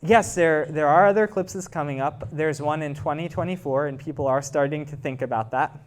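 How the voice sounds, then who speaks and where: A man's voice: on the high side, brisk. A man, a guest researcher, answering audience questions in an informal, explanatory way and thinking aloud. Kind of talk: informal scientific Q&A after a talk.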